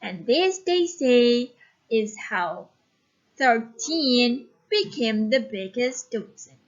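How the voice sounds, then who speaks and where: Female teacher-storyteller: high-pitched, animated, deliberate pace, instructive phrasing, slightly muffled audio. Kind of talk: expressive story reading and lesson instruction.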